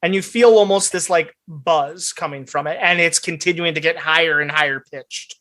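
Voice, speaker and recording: A woman's voice: deep voice, steady tone, normal speed, fairly bright tone. A woman running a tabletop role-playing game, describing scenes casually and vividly as game master.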